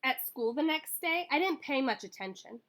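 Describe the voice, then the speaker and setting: A woman reads a children's book aloud as a staff member at a school would. Her voice sits fairly high and moves up and down a lot. The tone is bright and the delivery animated and expressive. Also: moderate pace. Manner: animated, acts out dialogue.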